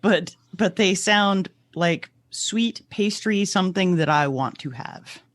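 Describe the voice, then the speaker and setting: A woman's voice: fairly low pitch, animated, slow pace, no echo. Woman with a close, dry-recorded voice. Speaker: podcast co-host. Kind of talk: casual chat.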